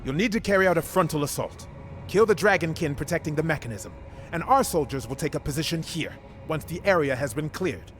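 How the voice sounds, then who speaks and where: An actor playing a firm military commander in a fantasy video game, giving orders briskly and with authority. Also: male voice, on the high side, expressive, faint music behind, lightly acted. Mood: commanding. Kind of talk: dramatic video game acting.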